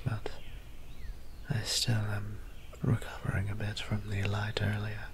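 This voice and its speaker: Male actor as courtly, shy vampire priest, ASMR audio drama. Soft, breathy, very even delivery, slow and tender. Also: on the low side, a little hiss or hum. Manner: hesitant, tender, formal old-fashioned wording.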